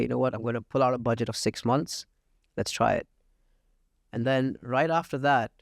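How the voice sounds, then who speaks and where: A gravelly-voiced man from India speaks as a podcast guest, confident and matter-of-fact, pausing often on "uh." The sound is dry and close, with no room echo. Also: medium pitch. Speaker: real estate agent, podcast guest. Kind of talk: casual interview talk. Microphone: podcast studio mic.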